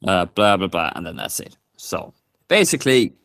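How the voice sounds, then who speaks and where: Hesitant low-voiced man, dry sound. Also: big pitch swings, normal speed, vocal fry, a bit bright, no room sound. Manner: hesitant, many fillers and restarts. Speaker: co-host. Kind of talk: casual live stream chat, reading a news report aloud.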